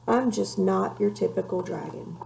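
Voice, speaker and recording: A woman voicing a young, gentle boy dragon in a storybook, plaintive and downhearted. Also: mid-range voice, moderate pace, gravelly, a little hiss or hum, poor audio.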